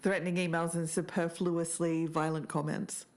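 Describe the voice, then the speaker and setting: Actress as composed woman investigator on a podcast, slightly low voice, tells strange cases conversationally. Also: level tone, moderate pace, lightly acted. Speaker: podcast co-host. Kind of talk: conversational documentary podcast narration.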